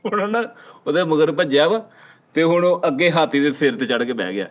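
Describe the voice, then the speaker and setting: A man's voice: a little high, expressive, brisk. A man with a Pakistani Punjabi accent telling anecdotes casually as a panellist. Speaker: talk show panellist.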